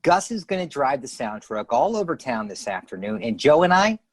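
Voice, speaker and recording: A man's voice: medium pitch, moderate pace. Casting: eager American teen boy, slangy schemer for radio sitcom, scratchy voice, bouncing intonation. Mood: proud salesmanship. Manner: excitable, boastful, slangy. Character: eager, cocky teenage son full of schemes. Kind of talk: live radio sitcom acting.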